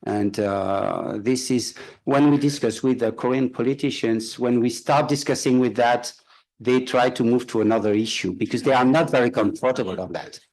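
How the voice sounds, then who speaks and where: Guy on a panel, lots of uh and restarts, middle pitch. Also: darkish tone, low-quality recording. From France. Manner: halting, with frequent "uh" pauses and restarts. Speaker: symposium panelist. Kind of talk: unscripted panel remarks.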